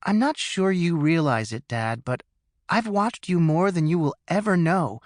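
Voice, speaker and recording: A man's voice: fairly high pitch, animated, a little slow, dry recording, clean studio sound. A male narrator voicing a stressed young adult daughter who talks in an earnest, worried way, in an audiobook. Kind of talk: audiobook character voice.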